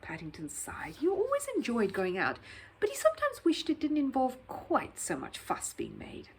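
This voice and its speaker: A woman reading a children's story aloud in expressive scripted narration. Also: sits high, moderate pace, a little hiss or hum.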